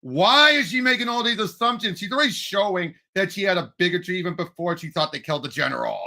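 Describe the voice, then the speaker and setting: A man co-hosting a casual movie discussion, excitable and animated as he retells and acts out quotes. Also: high-pitched, fast, vocal fry. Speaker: movie podcast co-host.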